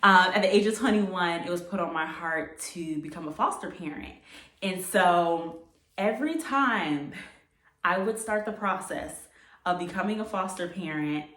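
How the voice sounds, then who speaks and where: Low-voiced young American woman, echoey room. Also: moderate pace, fairly bright tone. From United States. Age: late 20s. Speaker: vlogger. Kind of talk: casual personal storytelling to camera. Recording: echoey room, distant microphone.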